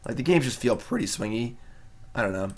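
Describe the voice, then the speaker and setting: A man's voice: mid-range voice, lively intonation, a little fast. A young man streaming a card game and chatting casually, laid-back and wry, with lots of fillers. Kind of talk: casual live-stream commentary.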